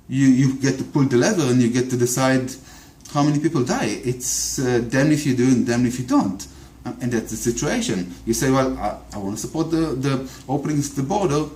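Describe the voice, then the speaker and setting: A man's voice: mid-range voice, darkish tone. Hesitant Israeli man in an echoey room. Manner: hesitant, many repeated words and fillers. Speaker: video commentator. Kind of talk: unscripted political monologue.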